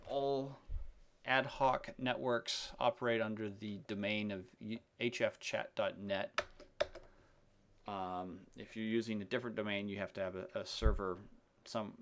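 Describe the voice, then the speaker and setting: Male tutorial presenter, lowish pitch with heavy vocal fry, unscripted and halting, walking through each step of a software demo. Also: background noise. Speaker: software demonstrator. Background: keyboard typing and mouse clicks.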